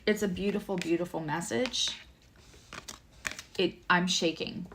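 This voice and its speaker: A woman tarot reader and astrologer with a low voice, giving a livestream reading, chatty and emotional, addressing viewers by name. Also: unhurried, background noise.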